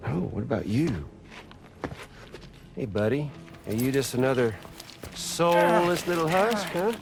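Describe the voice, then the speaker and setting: An actor playing a taunting, theatrical villain in a video game cutscene, drawling with folksy, mocking menace. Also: male voice, fairly high pitch, animated, unhurried, music in the background. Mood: gloating, mocking.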